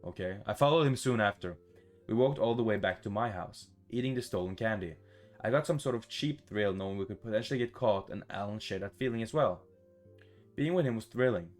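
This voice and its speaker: A man reading a visual novel aloud for a gaming video in a casual, expressive, sweary way, adding his own playful reactions. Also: lowish voice, brisk, soft background music.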